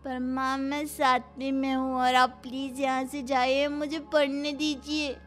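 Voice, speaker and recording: A woman voicing an annoyed seventh-grade schoolboy, pleading, in an animated family comedy. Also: high-pitched, unhurried, faint music behind. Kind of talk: animated Hindi family comedy story acting.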